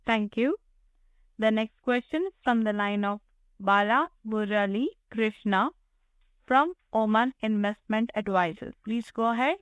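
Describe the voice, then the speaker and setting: A woman moderates a conference call in formal, scripted phrases. Her voice sits a bit high. The line is dull and dry. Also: unhurried, smooth, no echo.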